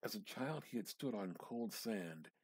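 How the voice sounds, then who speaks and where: A man giving a measured, theatrical reading of a literary short story, drawing out words and pausing for effect. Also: lowish voice, lively intonation, breathy edge, no echo. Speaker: amateur reader practicing dramatic reading.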